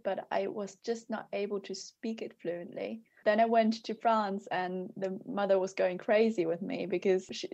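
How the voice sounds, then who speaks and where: Young female interviewee from China, first language Chinese, mellow warm tone, relaxed and thoughtful, dry close sound. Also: mid-range voice, no echo. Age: young adult. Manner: thoughtful, laughs easily. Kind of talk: casual interview chat.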